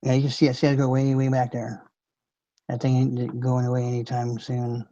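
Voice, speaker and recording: A man hosts a live stream in a loose, rambling chat, chuckling as he goes. His voice sits at an ordinary pitch. The audio is dull and lo-fi. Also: monotone, normal speed, dark tone. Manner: rambling, laid-back, chuckles often.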